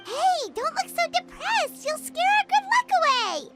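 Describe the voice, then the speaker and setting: A woman voicing a tiny, excitable, childlike fairy companion in an anime-style video game, in a cutesy, exclamatory manner. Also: extremely high pitch, a little slow, loud music behind the voice, full character voice. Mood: excitable, curious. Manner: third-person self-reference, exclamatory, cutesy.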